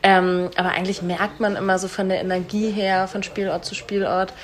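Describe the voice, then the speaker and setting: A woman's voice: mid-range voice, little pitch movement, fairly quick. Casting: young female stage performer, casual interview talk, eagerly agreeing, mid-range voice, slight location noise. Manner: informal, agreeable, eager affirmations. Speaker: stage actress being interviewed. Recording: noticeable background noise on location.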